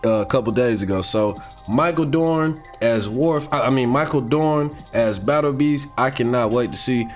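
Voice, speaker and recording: An American man giving casual, unscripted fan commentary in a slangy, rambling, excitable way, like an online video creator. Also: mid-range voice, moderate pace, few pauses. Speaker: YouTube commentator on comics and animation. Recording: music playing behind the voice. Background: background music.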